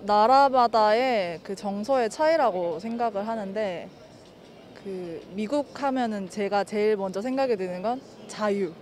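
A woman's voice: mid-range voice, a little slow, a little mellow. Young woman, candid and reflective, street interview, handheld mic. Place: city street outdoors. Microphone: handheld mic held by interviewer.

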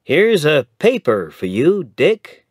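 Man putting on a chatty young lawyer, friendly, lively voice, slow, dry recording. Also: on the high side, no echo, pitched above the performer's own voice, heavily acted.

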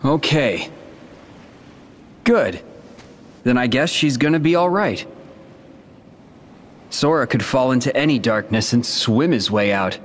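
Slow-spoken, serious young warrior. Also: male voice, medium pitch, lively intonation, big-room sound. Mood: calm, sincere. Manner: serious, measured. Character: brave, brooding young male warrior, loyal and steady. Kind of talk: video game voice acting.

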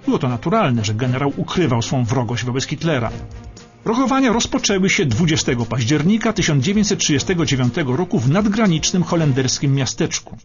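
A man narrates a dramatic scripted documentary for radio. His voice is on the higher side and swings widely in pitch, with emphatic, suspenseful phrasing. The sound is slightly dull, with music behind. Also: very animated, brisk.

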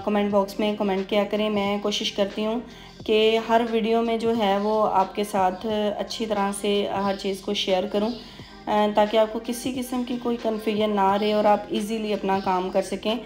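Female beautician for tutorial voice-over: explanatory, level delivery with halting pauses, faint music underneath. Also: medium pitch, a little mellow. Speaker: beautician giving a hair tutorial.

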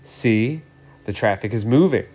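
A man's voice: mid-range voice, animated, unhurried, darkish tone, husky, soft background music. A man voicing an argumentative, indignant female green traffic light in a children's storybook, firmly retorting. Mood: angry. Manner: firm, retorting.

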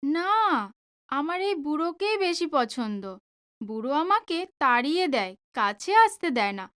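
A woman's voice: high voice, very animated, unhurried, fairly bright tone, full character voice. A woman playing a pouting, headstrong, lovestruck young woman in an audio drama, petulant and pleading. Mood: coy, insistent. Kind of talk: Bengali audio drama acting.

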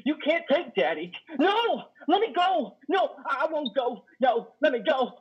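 A man in a play reading, acting a worn-out trucker who relives childhood trauma like a frightened boy, halting and pleading. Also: extremely high pitch, big pitch swings, exaggerated voice. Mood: anguished pleading. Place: living room on a sofa. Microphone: headset on a video call. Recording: muffled video-call audio.

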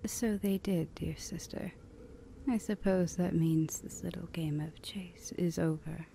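A woman voicing a stern, weary older vampire sister in a measured, condescending ASMR roleplay, often half-whispering. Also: lowish voice, steady tone, gravelly, warm tone, slight background noise. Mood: cool amusement.

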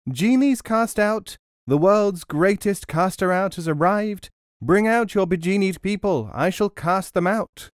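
A clever, bold woman of a folk tale acted out by a male narrator, pitched high. The delivery is confident and theatrical, in storybook style. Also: unhurried, no echo, clean studio sound. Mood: proud calling out.

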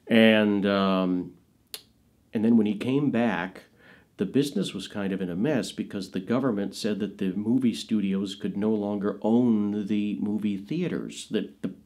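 American guy in his 50s, kinda low voice, telling old stories in an interview, emphatic. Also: a little slow, a little mellow. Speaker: interviewee, actor and filmmaker. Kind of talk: anecdotal interview storytelling. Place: indoors, in front of dark curtains.

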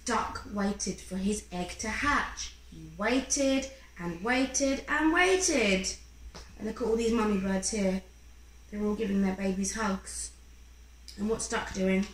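A woman in her thirties reading a picture book to a young child in an expressive, encouraging way, pausing to ask questions. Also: mid-range voice. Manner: expressive, encouraging, acting out sound effects. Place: small room at home, indoors. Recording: roomy home recording with faint background noise.

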